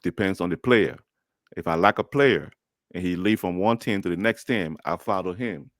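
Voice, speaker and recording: Liberian man hosting a podcast, very deep voice, dry, chatty and easygoing. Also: normal speed, no room sound. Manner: friendly, chatty, laughs easily. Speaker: host. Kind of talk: podcast interview.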